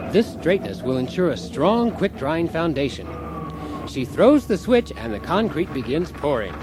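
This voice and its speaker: A man's voice: mid-range voice, very noisy, natural voice. A man playing a blithely cheerful, accident-prone husband who hosts a do-it-yourself radio comedy, instructive and unruffled by disaster. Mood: earnest, instructive. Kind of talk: parody how-to radio comedy.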